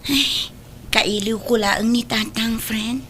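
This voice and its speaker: An actress plays an earnest Filipino audio drama character with an Ilocano accent. Her voice sits fairly high. She comes across as a humble, apologetic woman pleading her case. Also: fairly even intonation, slow, fairly bright tone, slightly rough, exaggerated voice. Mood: homesick, sad.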